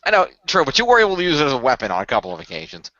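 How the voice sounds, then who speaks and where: A man, a cast member of a radio play, talking quickly with frequent restarts in a casual online group chat over muffled audio. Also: mid-range voice, wildly swooping pitch, pushed and bright, no room sound. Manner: fast, restarts often.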